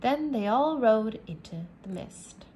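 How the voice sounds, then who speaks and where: A woman teacher reads a children's chapter book aloud at a very slow, deliberate pace. Her voice sits a little high and every word is clear. It sounds like a careful lesson read-aloud. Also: expressive, dark tone, slight background noise. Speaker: English teacher. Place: indoors at a wooden table.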